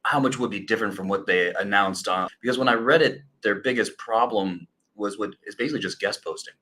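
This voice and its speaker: A man answering as a guest in a webinar, relaxed and conversational. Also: lowish voice, fairly quick, breathy edge. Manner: relaxed, conversational with "you know". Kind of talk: casual expert answers.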